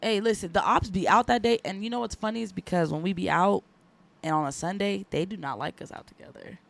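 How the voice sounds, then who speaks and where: A woman's voice: medium pitch, quick pace, creaky. A woman guest on a podcast, joking around in loose, slangy casual chat. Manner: joking, hype talk with slang.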